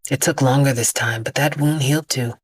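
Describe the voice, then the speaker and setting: An actress playing an immortal vigilante woman, weary, haunted and defiant, telling her story in an intense, measured audio drama monologue with hushed drops. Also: rumbling low, steady tone, slightly rough, no echo, studio quality.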